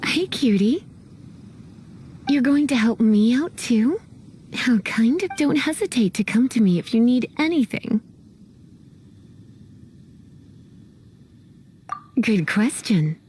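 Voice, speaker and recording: Sultry, mellow, flirtatious librarian. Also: female voice, on the high side, lively intonation, slight background noise. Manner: sultry, unhurried, knowing. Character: languid, flirtatious, learned adult female librarian. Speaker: voice actor. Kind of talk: game dialogue acting.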